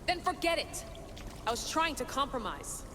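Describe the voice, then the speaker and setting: A young female assassin in a game drama, headstrong and intense. Her voice is high and swings widely, over clearly noisy ambience. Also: big pitch swings, moderate pace. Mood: angry. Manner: defiant, emotionally intense. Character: defiant young woman assassin, driven and headstrong. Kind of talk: dramatic video game cutscene acting.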